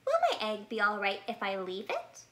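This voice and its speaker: A woman's voice: mid-range voice, wildly swooping pitch, moderate pace, fairly bright tone, a little hiss or hum. A woman voicing an earnest, stubborn little boy bear cub, firm and self-important in a childlike way, in a storybook. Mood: impatient, curious.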